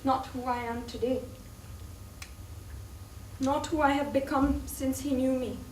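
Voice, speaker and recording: An actress in a stage play playing a proud, wounded former sweetheart, speaking in a defensive, guarded and emphatic way. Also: female voice, sits high, fairly even intonation, unhurried, a little mellow. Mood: guarded, sombre. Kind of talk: theatrical stage play acting. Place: theatre stage, recorded from far off. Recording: distant echoey stage recording, faint noise.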